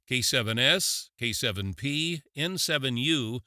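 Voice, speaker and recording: A man's voice: medium pitch, expressive, unhurried, sharp tone, no room sound, clean studio sound. A man giving an informational presentation in a folksy, plain-spoken, lightly joking way, posing questions and then answering them. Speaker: event presenter.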